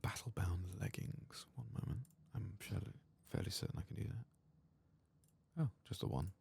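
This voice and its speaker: A man softly murmuring and half-whispering gameplay commentary in a husky, breathy voice, like an ASMR host. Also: low-pitched, sing-song, unhurried.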